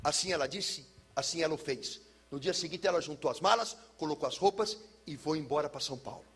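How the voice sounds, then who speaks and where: A Brazilian man speaking as a pastor, telling a personal testimony in an emphatic, theatrical way with deliberate repetition. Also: a little high, fairly bright tone, breathy edge. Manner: emphatic, theatrical, uses pauses and repetition for effect. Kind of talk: personal testimony, dramatic storytelling to listeners.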